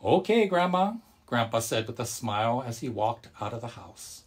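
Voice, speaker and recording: A man's voice: medium pitch, level tone, normal speed, husky. A man speaking as a storyteller and service leader, addressing children and families in simple, friendly language.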